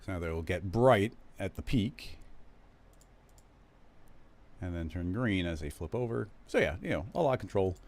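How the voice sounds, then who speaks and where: A man hosting a live stream, casually talking viewers through a software tutorial, rambling and correcting himself as he goes. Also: sits low, animated, normal speed, long pauses. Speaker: live stream host teaching 3D animation software.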